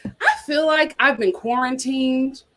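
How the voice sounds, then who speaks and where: A woman talks through reality TV gossip for her channel. She is animated and emphatic, full of "you know" asides, and her voice sits fairly high. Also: measured pace. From New York. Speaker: host of a reality TV chat channel. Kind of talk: casual reaction chat reading viewer comments.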